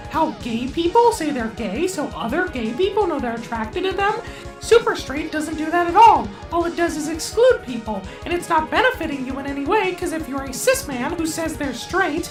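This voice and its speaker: A woman voicing an outspoken editing ghost, explaining things casually and bluntly with some swearing. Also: sits high. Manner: blunt, profane. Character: cheeky outspoken ghost who edits videos. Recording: echoey, music behind.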